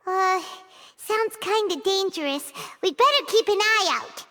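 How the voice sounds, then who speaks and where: A woman voicing a tiny, eager, fairy-like girl companion in a video game, with a squeaky childlike voice. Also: measured pace, heavily acted. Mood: wary. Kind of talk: game voice acting. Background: soft game music.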